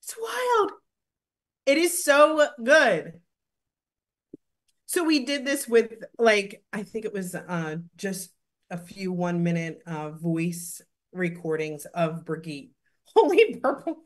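A woman in her thirties hosting a webinar from home over a video call, presenting a product with excited, gushing talk and many fillers. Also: medium pitch, slow pace. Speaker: webinar host. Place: home room, indoors. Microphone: video call webcam.

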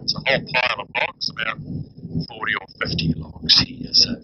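A man's voice: fairly low pitch, lively intonation, a little fast. An older man with a New Zealand accent, giving tour commentary to passengers as a driver-guide on a headset. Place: inside a rumbling tracked vehicle. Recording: muffled intercom over loud vehicle noise.

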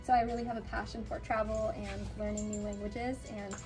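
A young woman whose first language is Romanian, chatting casually about her bookshop in a relaxed, filler-heavy way. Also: medium pitch, brisk, music in the background. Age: young adult. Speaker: bookshop co-owner. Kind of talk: casual vlog chat. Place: small bookshop indoors.

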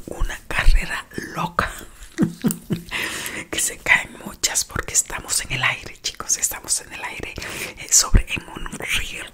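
A woman whispering close to the mic on a playful ASMR live stream, greeting chat viewers affectionately and softly repeating words. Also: fairly low pitch. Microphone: close microphone.

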